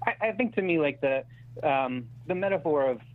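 A man in his thirties chatting casually as an interview guest, halting and self-deprecating as he thinks aloud. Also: fairly high pitch, animated. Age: 30s. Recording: thin phone-like radio-style sound.

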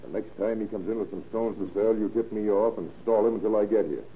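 Man as a low-voiced, brisk police inspector. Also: fairly even intonation, lightly acted. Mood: shrewd, instructing. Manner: clipped, questioning, authoritative. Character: brisk, shrewd male police inspector, firmly in charge. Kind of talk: 1930s radio crime drama acting. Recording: tinny, noisy old-time radio recording.

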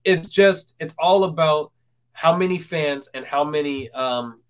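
Host, man in his twenties, a bit higher-pitched, hesitant, many fillers and restarts. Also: unhurried, clean tone. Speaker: podcast host. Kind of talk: casual interview chat.

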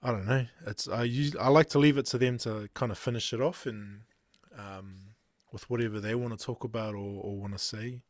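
A man's voice: mid-range voice, fairly even intonation, brisk, dry recording, clean studio sound. A man with a New Zealand accent hosting a podcast, laid-back and casual, laughing often and calling his guest "bro.". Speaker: podcast host. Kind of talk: casual chat.

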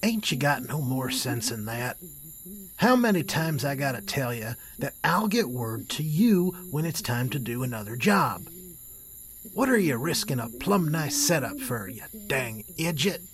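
A man's voice: mid-range voice, big pitch swings, measured pace, a crowd in the background. A man playing a short-tempered outlaw ringleader with an American cowboy drawl in a western audio drama. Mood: angry, scolding.

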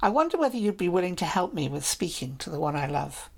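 A woman's voice: on the low side, sing-song, fast talker, gravelly, full character voice. Woman playing a shy lovestruck knight. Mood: earnest, pleading. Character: young male knight, brave but lovestruck, shy.

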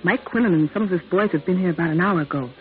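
An actress playing a worldly, loyal American blues singer and informant in a 1950s radio drama, wry and familiar. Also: female voice, on the low side, very fast, lightly acted. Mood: matter-of-fact. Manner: wry, familiar, matter-of-fact. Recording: tinny old radio recording with music.

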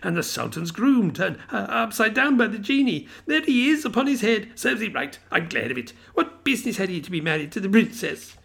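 A man voicing an elderly male miser, giddy and excited, between laughing and crying, his voice climbing high. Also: brisk, gravelly, hardly any pauses, breathy edge, exaggerated voice. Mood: ecstatic.

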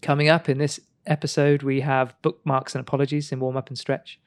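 Male host, middle voice, playful and quick to laugh, dry studio sound. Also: mid-range voice, flat delivery, clear, resonant tone, no echo. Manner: teasing, laughs often. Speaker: podcast host. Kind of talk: casual chat.

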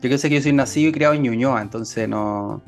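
A young Chilean man co-hosting a podcast, relaxed and slangy, often hesitating mid-thought. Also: medium pitch, fairly quick, creaky, soft background music. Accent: Chilean Spanish. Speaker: podcast co-host. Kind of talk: casual chat.

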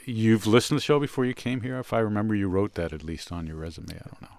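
A man's voice: lowish voice, steady tone, fast talker, creaky, a little mellow, no room sound. A man co-hosting a music radio show with casual chat.

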